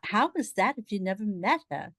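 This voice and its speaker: A woman playing a breezy, teasing upper-class gentleman in a comic period radio play, jaunty and mocking with posh old-fashioned diction. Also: mid-range voice, very animated, moderate pace, no room sound. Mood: amused disbelief.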